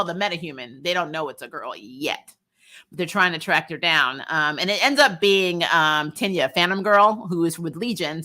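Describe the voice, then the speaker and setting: A woman's voice: on the low side, bright, forceful tone. A woman hosting a recap show, reviewing in a casual, chatty, slangy way and animatedly retelling things. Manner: chatty, slangy, punctuated with laughter.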